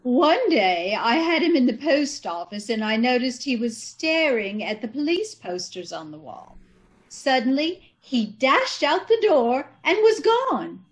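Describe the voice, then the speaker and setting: A woman's voice: high-pitched, very animated, measured pace. A woman playing a haughty, genteel society lady in old radio comedy style, prim and condescending. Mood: smug. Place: indoors on a live Zoom call.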